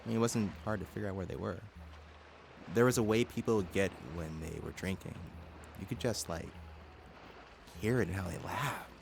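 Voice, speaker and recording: Deep, creaky-voiced subdued young guy. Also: moderate pace, pauses often. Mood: subdued, reflective. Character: subdued, guarded American teenage boy. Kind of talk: casual naturalistic audio drama dialogue. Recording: clear background ambience noise.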